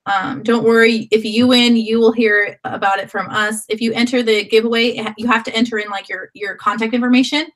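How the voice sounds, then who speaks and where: A woman's voice: a little high, steady tone, fairly quick, few pauses, a bit bright. A woman hosting a live video chat, with a sore throat from a cold, who rambles and often restarts her sentences. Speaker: host.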